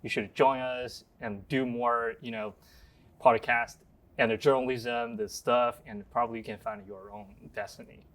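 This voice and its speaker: A young man from China, a native Chinese speaker, answering eagerly in halting, non-native phrasing as a student guest. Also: mid-range voice, fairly even intonation, fairly bright tone. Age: young adult.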